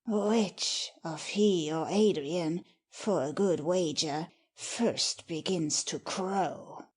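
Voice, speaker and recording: A cynical, plotting lord in a Shakespearean drama, read by a woman. The voice is breathy and rough-edged, rather low for a woman, and slow. Each remark is a dry, sardonic jab. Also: darkish tone, exaggerated voice. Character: mocking, cynical scheming nobleman. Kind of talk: dramatic Shakespeare audiobook reading.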